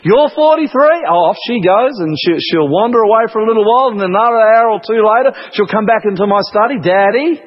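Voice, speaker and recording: A man preaching a sermon, deliberate and emphatic, repeating phrases and pausing for effect. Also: sits high. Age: 40s. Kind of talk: sermon, Bible study. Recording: muffled radio-like sound, long hall reverb.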